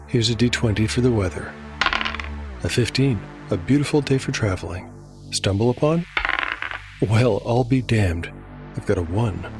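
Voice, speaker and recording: Grave-voiced man narrating dark fantasy. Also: fairly low pitch. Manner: measured, grave storytelling. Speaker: host and solo game master. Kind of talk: dramatic fantasy narration with dice commentary. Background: music.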